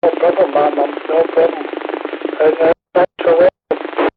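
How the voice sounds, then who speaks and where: Male, high voice, informal radio chatter, narrow-band sound with obvious noise. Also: fairly even intonation, moderate pace. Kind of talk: casual radio chat. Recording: noisy, tinny CB radio transmission.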